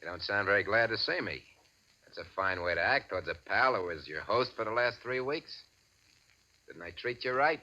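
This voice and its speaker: An actor playing a ruthless, impatient gang boss in 1940s radio crime drama style, commanding and sarcastic with a mock-friendly menace. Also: male voice, lowish voice, animated, normal speed, pushed and bright. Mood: mockingly menacing. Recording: dull old radio broadcast recording.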